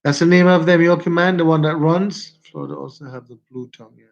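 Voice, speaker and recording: A man's voice: a little high, fast, gravelly. A man with a stuffy nose, chatting casually on a livestream and telling stories with frequent laughter. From Jamaica. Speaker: vlogger. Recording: dull lo-fi phone audio.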